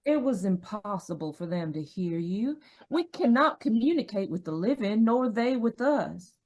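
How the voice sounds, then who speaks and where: A woman acting in a radio mystery as a gentle, reassuring dead wife, soothing and polite. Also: mid-range voice, animated, normal speed, natural voice.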